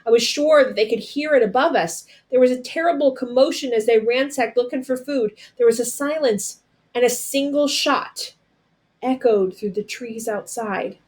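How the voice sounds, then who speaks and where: A woman narrating a storybook aloud as a storyteller, with dramatic, deliberate phrasing. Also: on the high side, darkish tone.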